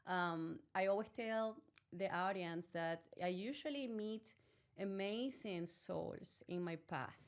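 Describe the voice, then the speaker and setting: A woman's voice: medium pitch, slow. A woman hosting a wellbeing podcast, introducing her guest earnestly and asking long, heartfelt questions. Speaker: podcast host. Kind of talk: interview hosting.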